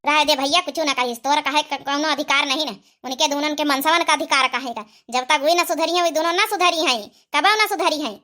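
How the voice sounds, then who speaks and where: Woman doing a tired old village saas, awadhi accent, high sharp cartoon voice, always grumbling. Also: steady tone, brisk, few pauses. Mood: resigned, bitter. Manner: complaining, muttering, exasperated. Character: weary, grumbling old village mother-in-law. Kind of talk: comic cartoon skit.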